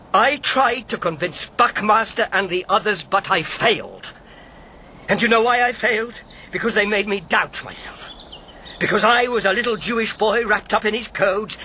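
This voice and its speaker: A man's voice: sits high, pushed and bright, slight background noise. An actor in a radio drama playing an earnest old man, a former wartime codemaster full of regret and self-doubt. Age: 70s.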